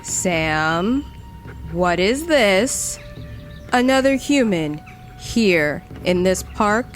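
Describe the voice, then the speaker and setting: A woman's voice: mid-range voice, lively intonation, very slow, faint music behind. A woman playing a rude, mistrustful Jersey Devil creature in an audio drama, blunt, indignant and sarcastic. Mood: suspicious.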